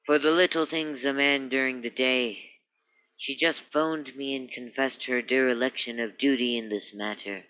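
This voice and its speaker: An actor playing an earnest police sergeant sidekick, pompously formal and long-winded, in 1950s radio acting style. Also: male voice, mid-range voice, fairly even intonation, moderate pace, sharp tone, smooth, close to an everyday voice, poor audio.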